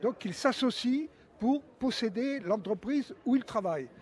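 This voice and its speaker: A man's voice: very high voice, lively intonation, a little slow. A man patiently explaining ideas to schoolchildren in a live radio interview, with small hesitations. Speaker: guest explaining politics to schoolchildren. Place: festival stand, live broadcast.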